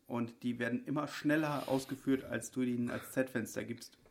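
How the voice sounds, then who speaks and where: A man's voice: medium pitch, fairly even intonation, moderate pace, creaky, slight background noise. A man co-hosting a podcast, dropping dry teasing one-liners in casual banter. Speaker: podcast co-host. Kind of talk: casual banter between friends.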